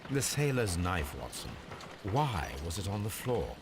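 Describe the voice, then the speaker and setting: An actor playing a brilliant, precise English gentleman detective in a video game, speaking formally and coolly. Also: lowish voice, very animated, noisy background. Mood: calm, purposeful. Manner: formal, measured, coolly deductive delivery. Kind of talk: scripted video game dialogue.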